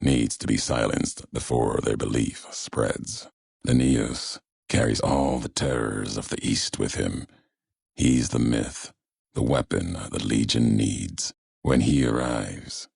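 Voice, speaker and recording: Very deep, gravelly brooding wanderer. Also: male voice, darkish tone, full character voice. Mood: grim, judging. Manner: slow, clipped fragments, ominous and deliberate. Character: grave, brooding male wasteland wanderer haunted by history. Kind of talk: video game character dialogue. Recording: heavy echo on the voice.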